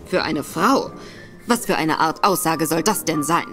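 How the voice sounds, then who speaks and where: A woman playing a clever young actress who is in disguise as a gentleman and speaks coolly and sharply. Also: low-pitched, measured pace, music in the background. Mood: cool, probing. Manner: cool, sharp-tongued. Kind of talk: German audio drama acting. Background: background score.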